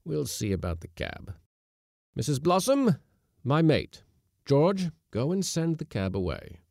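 Man playing a gruff, blustering schooner skipper. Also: fairly high pitch, huge pitch swings, measured pace, a little mellow, no echo, studio quality, pitched above the performer's own voice, exaggerated voice. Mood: brisk. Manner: gruff, commanding. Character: stern, blustering male schooner skipper, irritable but scheming.

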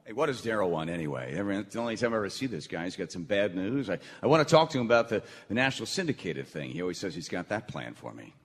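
A man's voice: low voice, very animated, racing, few pauses. A man playing a brash, self-important radio talk host in a comedic stage radio play. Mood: anxious. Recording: echoey theatre hall with audible noise.

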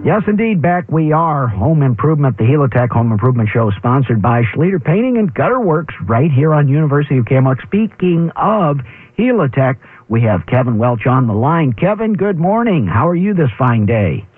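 A man's voice: fairly high pitch, big pitch swings, a little fast, husky. A man hosting a home improvement call-in radio show, upbeat and jokey. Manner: upbeat, joking banter. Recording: tinny phone-like radio audio.

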